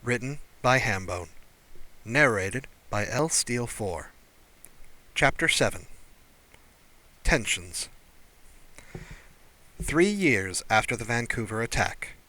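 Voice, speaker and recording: A man reading scripted science fiction as an audiobook narrator, in a measured, deliberate way. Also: mid-range voice, lively intonation, pushed and bright, poor audio.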